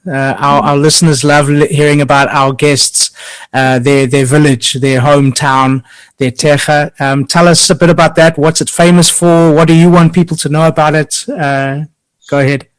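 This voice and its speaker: A man hosting a podcast interview, upbeat and friendly, often pausing with ums and restarting his sentences. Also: fairly high pitch, fairly even intonation, clear, resonant tone. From Portugal. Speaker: podcast host.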